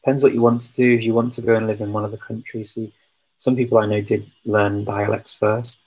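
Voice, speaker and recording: A man's voice: lowish voice, level tone, fairly quick, darkish tone, husky, poor audio. A man answering viewer questions in a casual live chat, talking hesitantly with many ums and restarts. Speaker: language-learning streamer answering viewer questions.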